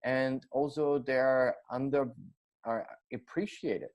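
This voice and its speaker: Austrian-accented man, halting speech. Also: medium pitch, steady tone, a little mellow. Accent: Austrian German. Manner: hesitant, many fillers and restarts. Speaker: guest. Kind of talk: casual interview.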